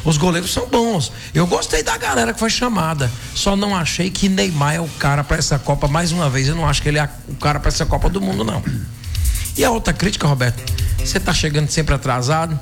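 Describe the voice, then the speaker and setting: A hoarse man with a Brazilian accent hosting an evening radio show, chatting and giving his opinions and often tagging "né". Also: on the high side, animated, fast. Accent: Brazilian Portuguese. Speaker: radio host. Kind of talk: casual radio chat. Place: radio studio. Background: music bed.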